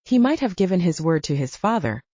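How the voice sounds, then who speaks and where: A woman audiobook narrator reading scripted fiction in an expressive storytelling manner. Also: fairly low pitch, brisk, dry recording, dull audio.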